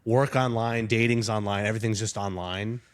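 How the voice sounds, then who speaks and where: A man's voice: lowish voice, fairly even intonation, moderate pace, clean tone. A young adult man, a podcast guest, talking in a rambling, casual way with lots of fillers and self-deprecating asides. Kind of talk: casual chat.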